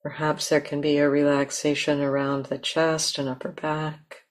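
A woman leading a guided meditation in a gentle, unhurried manner with long pauses. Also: sits low, level tone. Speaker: meditation teacher.

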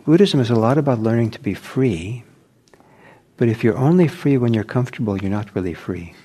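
Older man, meditation teacher, soft-spoken, gentle, unhurried, husky. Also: fairly low pitch. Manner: gentle, unhurried, with many restarts. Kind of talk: dharma talk with Q&A. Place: meditation hall.

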